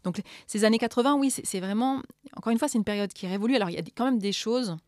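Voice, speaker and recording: A middle-aged woman from Morocco answering interview questions thoughtfully, often pausing and repeating words as she searches for them. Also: fairly high pitch, a little mellow, no echo, clean studio sound. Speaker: author and political scientist.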